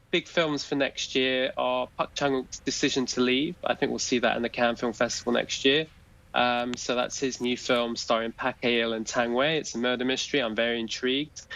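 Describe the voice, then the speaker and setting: A man's voice: mid-range voice, vocal fry, fairly bright tone, lo-fi sound. A man speaking as a guest commentator on a news program, talking hesitantly with many fillers and restarts. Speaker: film industry guest commentator. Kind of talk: live studio interview discussion.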